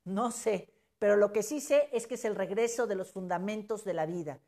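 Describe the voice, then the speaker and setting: A woman's voice: medium pitch, normal speed, warm tone. A Mexican woman in her fifties giving an emphatic, preachy motivational talk on social media, with casual swearing. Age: 50s.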